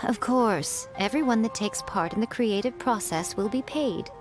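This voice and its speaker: Woman acting as a sly fox shrine lady in a game, calm and sure of herself, bit of music behind. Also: fairly high pitch, lightly acted. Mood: self-assured. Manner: composed, self-assured, measured. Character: sly, poised fox-spirit shrine priestess and publisher. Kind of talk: video game character acting.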